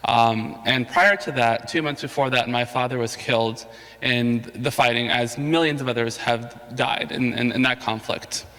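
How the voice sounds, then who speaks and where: A man's voice: mid-range voice, fairly even intonation, normal speed, a bit bright. Man speaking in a reverberant hall. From Somalia. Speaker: campaign surrogate speaker. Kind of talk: personal story at a public forum.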